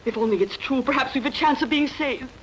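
An actress dubbing a young captive princess in a sword-and-sandal film, grieving, bitter and melodramatically grave. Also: female voice, sits high, fairly quick, close to an everyday voice. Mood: grave, despairing. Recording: faintly noisy old film soundtrack.

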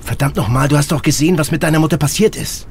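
Actor as a gravelly, grave police agent. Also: male voice, fairly high pitch, quick pace, lightly acted. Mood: urgent, worried. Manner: terse, hushed, insistent. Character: grave, urgent middle-aged police agent, secretive and protective. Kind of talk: German audio drama acting. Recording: clear background noise.

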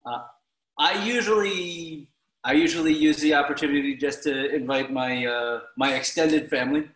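A man's voice: fairly high pitch, moderate pace, a bit bright, echoey room, low-quality recording. A middle-aged man from the United States explaining a recipe casually on a video call from his home kitchen, with restarts. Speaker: guest cook. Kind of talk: casual cooking demonstration. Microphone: laptop video call.

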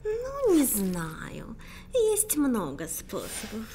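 A woman voicing a theatrical, whimsical fortune-teller in an animated film, shifting between whispers and shouts. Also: on the high side, extremely slow, a crowd in the background, exaggerated voice. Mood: teasing. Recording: music behind the voice.

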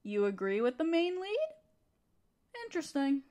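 A woman's voice: high voice, very animated, normal speed, dark tone. A woman playing a young female student, a teasing but encouraging study partner, in an intimate audio roleplay addressed to the listener. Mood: studious, wistful. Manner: teasing, conversational, affectionate.

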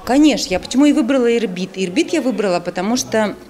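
A woman's voice: fairly high pitch, expressive, fast talker, darkish tone. A middle-aged woman giving spontaneous interview answers, often breaking off and repeating words as she goes. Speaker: screenwriter and magazine editor. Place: indoor room with chairs and bookshelves.

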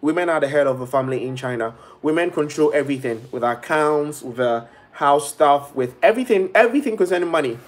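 Animated young man on a webcam live stream. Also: on the high side, fast, hardly any pauses. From Ghana. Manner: animated, gestures a lot, quotes people in shifting voices. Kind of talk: casual live stream monologue. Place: small bedroom indoors. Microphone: laptop or phone webcam at arm's length.

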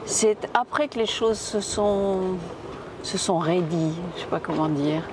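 A middle-aged woman from Tunisia, answering interview questions reflectively with pauses and restarts, on an outdoor café terrace with a lapel mic. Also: fairly low pitch, background noise. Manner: reflective, with frequent hesitations and restarts. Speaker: theatre director and physicist.

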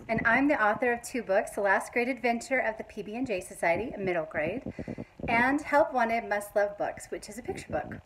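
A woman's voice: fairly high pitch, fairly bright tone, a little hiss or hum, poor audio. A middle-aged woman, a children's author, casually presenting a trivia question into a phone held at arm's length. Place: indoor room with bookshelves.

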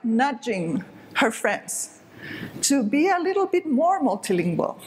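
A woman's voice: medium pitch, slight background noise, poor audio. A middle-aged woman from southern Spain, giving an explanatory, emphatic stage talk to an audience. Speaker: speaker. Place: dark stage with curtain.

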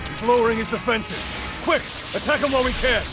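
An actor voicing a wry, hurried male villain teammate in a video game. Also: very high voice. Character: quick-talking male hero-turned-villain teammate. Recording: game audio over music.

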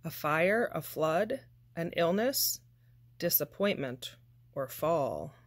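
Woman, picture book read-aloud, slowly paced, expressive, swooping intonation, measured phrases. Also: deep voice, slightly rough, no room sound. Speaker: storybook reader.

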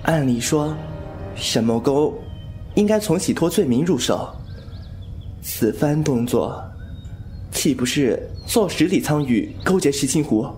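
A man acts a young wandering martial artist in an audio drama, wry and teasing. His pitch moves freely through playful, sardonic turns and sighs. Soft music plays behind him. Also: animated, a little slow, long pauses. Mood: wry, curious. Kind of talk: Mandarin audio drama acting. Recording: background music under voice.

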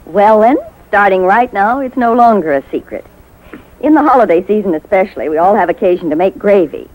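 Female 1950s American radio spokeswoman, medium pitch, instructive, scripted sponsor delivery. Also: lively intonation, fairly quick, darkish tone, low-quality recording. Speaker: home economist, sponsor spokeswoman.

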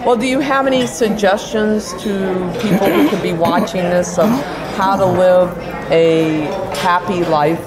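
A woman's voice: on the low side, a little slow, few pauses. An older woman doing a casual interview, chatty and encouraging as she asks questions. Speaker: interviewer, county commissioner. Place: indoor lounge by a fireplace. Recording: loud crowd chatter behind.

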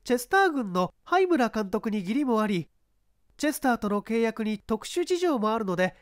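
A young woman performed by a female reader gives detailed testimony in a mystery story. Her voice is high and lively in pitch, with polite, formal phrasing. The sound is dry and composed. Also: normal speed, no echo. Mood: earnest, explaining. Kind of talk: dramatized mystery story reading.

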